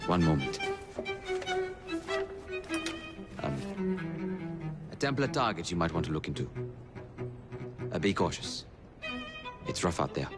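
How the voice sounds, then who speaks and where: A man playing a measured, courteous Victorian spymaster and helpful ally in a video game cutscene, slightly hesitant. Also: low voice, very animated, loud music behind the voice. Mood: hesitant, careful.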